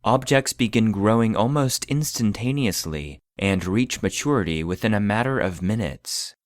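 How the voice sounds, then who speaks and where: A man playing an impassive database voice, reading classified files in a formal, measured, clinical manner for a scripted audio drama. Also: fairly low pitch, lively intonation, dry recording, studio quality, natural voice. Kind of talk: scripted narration of clinical reports.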